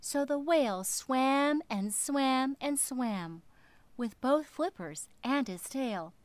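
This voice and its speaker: Animated, high-voiced woman storyteller. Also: no echo. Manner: deliberate, rhythmic, dramatic. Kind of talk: expressive children's story narration.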